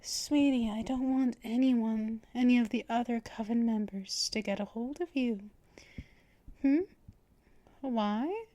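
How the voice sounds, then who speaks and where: A woman voicing a possessive, teasing female vampire mistress in an ASMR roleplay, with slow, breathy half-whispers and soft laughs, close to the microphone. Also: fairly high pitch, no echo. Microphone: close microphone for headphone listening.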